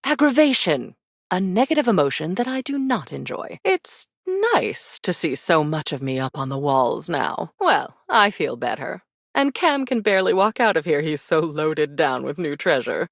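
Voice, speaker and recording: Game role: mysterious macabre temptress, lowish and very dry-recorded, sly, teasing, grandly dramatic. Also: female voice, no room sound, heavily acted. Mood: aggravated, then satisfied, teasing. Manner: theatrical, sly, flirtatious, darkly teasing, drawling endearments. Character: mysterious, devious, macabre-loving living memory, theatrical teasing woman. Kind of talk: video game character acting.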